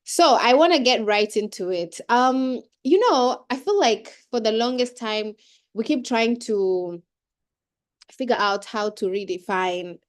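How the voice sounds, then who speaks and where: A woman's voice: a little high, lively intonation, unhurried, smooth. A young woman with a cold, giving a chatty, informal keynote talk over a video call, with many fillers and restarts. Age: young adult. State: has a cold. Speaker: keynote speaker. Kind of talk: casual speech on a video call.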